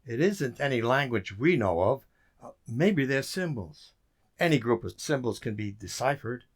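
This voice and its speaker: A man acts an intrigued codebreaker in radio theater. His voice is mid-pitched and very animated, musing aloud with emphasis and eagerness. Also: normal speed, natural voice. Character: intrigued, eager male code expert puzzling over symbols.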